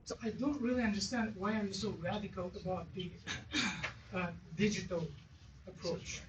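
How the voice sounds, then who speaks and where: An audience member gives feedback at a business meeting in a halting, repetitive and insistent way. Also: mid-range voice, steady tone. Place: event room with audience. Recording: distant mic, echoey room, clear noise.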